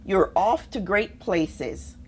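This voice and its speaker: A woman reading a children's picture book aloud in a deliberate, expressive way, with pauses between phrases. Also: mid-range voice, slight background noise. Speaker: storybook reader, army officer.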